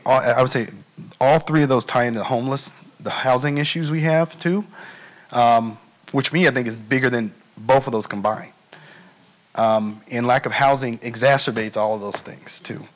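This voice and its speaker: A man speaking as a health care provider on a panel, answering thoughtfully with many pauses and fillers. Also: medium pitch. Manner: thoughtful, hesitant, many ums. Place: public meeting room.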